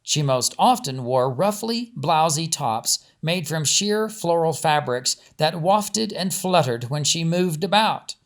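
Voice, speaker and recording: A man's voice: on the high side, expressive, slow pace, few pauses. A man, an author reading his own storybook and chatting casually around it. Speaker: author and narrator. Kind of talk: storybook narration and casual chat.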